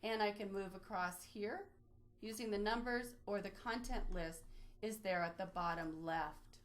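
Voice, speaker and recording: Woman guiding an informal software tutorial. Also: medium pitch, unhurried. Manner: informal, explanatory, step-by-step. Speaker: librarian presenting a database tutorial. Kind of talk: instructional screen-share webinar walkthrough.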